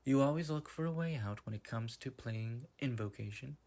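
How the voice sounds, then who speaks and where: A man's voice: mid-range voice, normal speed, a little mellow, lightly acted. A man voicing a stern investigator in an amateur fantasy game dub, speaking in a formal, matter-of-fact way. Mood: stern, accusing.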